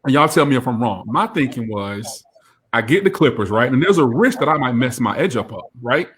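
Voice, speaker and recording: A man in his thirties co-hosting a casual panel chat, animated and laughing, his voice jumping up when he jokes. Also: a little fast. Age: 30s. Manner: animated, laughing, jumps high in pitch when joking. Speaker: co-host.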